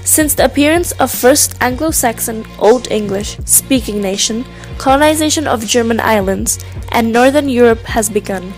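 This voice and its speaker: A woman's voice: fairly high pitch, normal speed. A woman narrating a prepared text, reading it evenly like a class presentation. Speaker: student narrator. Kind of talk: scripted narration. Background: hip hop music.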